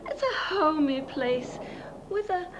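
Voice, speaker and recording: High, fluttery older spinster. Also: female voice, big pitch swings, highs cut off, full character voice. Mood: fluttery, doting. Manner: fluttery, chattering, halting, genteel. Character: fussy, doting, eccentric older spinster sister. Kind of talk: theatrical horror-comedy film acting. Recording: noisy old film soundtrack.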